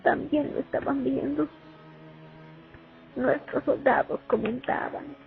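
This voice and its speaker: A woman's voice: high-pitched, fairly even intonation, slow, creaky, faint music behind, exaggerated voice. Woman acting haunted female sniper, phone-like sound. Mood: weary, reflective. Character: young female Soviet sniper, haunted war veteran remembering. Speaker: actor in a student radio drama. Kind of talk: dramatic first-person monologue, radio play. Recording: muffled phone-like recording.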